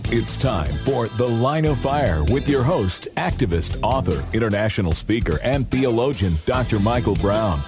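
A man reading a scripted radio show introduction in a booming, promotional announcer style. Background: music bed.

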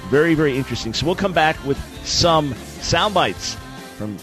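A man's voice: fairly high pitch, animated, normal speed, over music. A man hosting a talk radio show, speaking emphatically in rhetorical bursts.

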